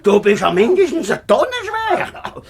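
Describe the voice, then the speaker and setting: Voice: mid-range voice, huge pitch swings, measured pace, vocal fry, crowd noise, full character voice. An actor playing a greedy, gloating big bad wolf in a children's radio play, grumbling to himself. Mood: annoyed, scolding.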